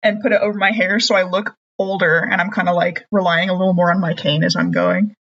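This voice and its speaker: A woman's voice: lowish voice, flat delivery, fast talker, fairly bright tone, lo-fi sound. A woman playing a tabletop game, chatty and rambling in casual roleplay, with many "like" fillers.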